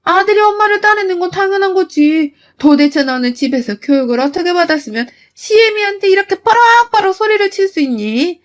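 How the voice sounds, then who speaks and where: A woman putting on a shrill, spiteful mother-in-law. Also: lively intonation, brisk, hardly any pauses. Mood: indignant. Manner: scolding, shrill and sneering. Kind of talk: storytelling with acted voices.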